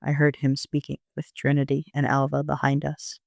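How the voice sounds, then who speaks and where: A man's voice: fairly high pitch, completely flat delivery, normal speed, creaky, no echo, clean studio sound. A man narrating a first-person audio drama as an amateur reader, in an understated storytelling manner.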